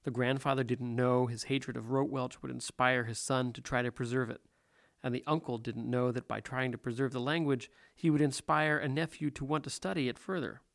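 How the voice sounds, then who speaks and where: A man hosting a podcast and reading a measured, scripted narration that links the interview clips. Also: mid-range voice, flat delivery, vocal fry, fairly bright tone. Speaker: podcast host.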